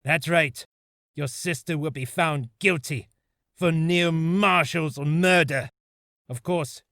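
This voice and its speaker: A man's voice: a little high, a bit bright, no room sound, studio quality. A man voicing an older police chief, jovial and chummy with veiled menace, for a character reel. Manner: chummy, drawn-out phrases, veiled threat.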